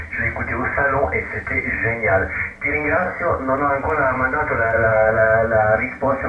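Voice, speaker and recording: A jovial, chatty man running an amateur radio conversation and passing the microphone around, heard over shortwave. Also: mid-range voice. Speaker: amateur radio net controller. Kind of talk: casual ham radio chat, passing the microphone. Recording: noisy, muffled, echoey distant shortwave radio reception. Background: loud radio static.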